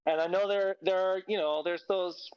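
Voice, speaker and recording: A man's voice: high-pitched, fairly even intonation, moderate pace, smooth, dry recording, highs cut off. A man chatting casually as a podcast host, with an enthusiastic, effusive way of talking. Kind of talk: casual chat.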